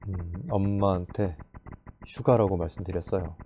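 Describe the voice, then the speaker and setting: A man's voice: sits low, steady tone, normal speed, mellow, a little hiss or hum, lightly acted. A man playing a weary, thoughtful young man. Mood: reflective.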